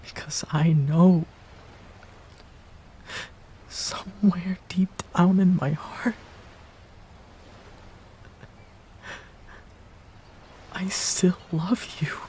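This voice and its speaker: A man acting a heartbroken ex-boyfriend in an intimate romantic audio roleplay, pleading in a halting, breathy, emotional way. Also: sits high, steady tone, a little mellow, slight background noise. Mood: aching, hushed.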